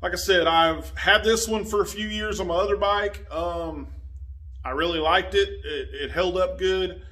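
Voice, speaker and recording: A man's voice: sits high, steady tone, moderate pace, pushed and bright, poor audio. A man in his forties casually explaining a motorcycle windshield install in his garage, talking while he works and drifting from the phone camera. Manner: plain-spoken, talks while working with his hands, drifts from the mic. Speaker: motorcycle vlogger. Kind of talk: casual how-to narration while working.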